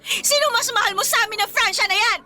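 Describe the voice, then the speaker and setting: Shrill, fiery jealous Filipina girlfriend. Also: quick pace, over loud music. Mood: indignant. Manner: confrontational, emotional, taunting. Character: jealous, fiery young woman, betrayed girlfriend. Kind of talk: Filipino radio romance drama acting.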